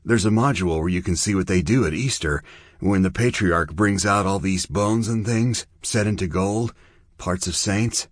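A man voicing an anxious, soft-hearted young girl with an earnest, plain-spoken delivery in an audiobook. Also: low voice, normal speed, no room sound, clean studio sound, pitched above the performer's own voice. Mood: explaining.

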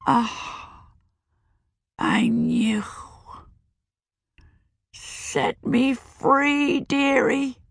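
A woman's voice: high voice, fairly even intonation, drawn-out words, gravelly, pauses often, no echo, clean studio sound, pitched above the performer's own voice, full character voice. A woman voicing a frightened, cursed old witch woman who pleads and begs to be allowed to die, in an audiobook reading. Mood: weak whisper. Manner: pleading, quavering, begging to die.

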